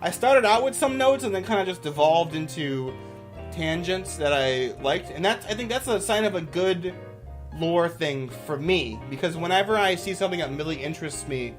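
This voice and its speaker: A man's voice: on the high side, lively intonation, moderate pace, over music. A man giving casual, off-the-cuff commentary as a YouTuber, with an enthusiastic, rambling manner.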